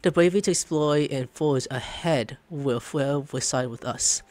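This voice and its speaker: A man's voice: medium pitch, moderate pace, darkish tone, no echo. A man streaming a video game, commenting casually and reading dialogue aloud. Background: game music.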